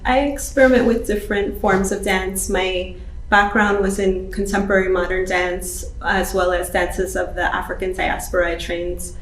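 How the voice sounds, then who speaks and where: A woman's voice: mid-range voice, steady tone, moderate pace, far from the mic. A woman from New York, a choreographer, thoughtfully explaining her work in an interview. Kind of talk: interview talk. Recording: roomy room with faint ambience.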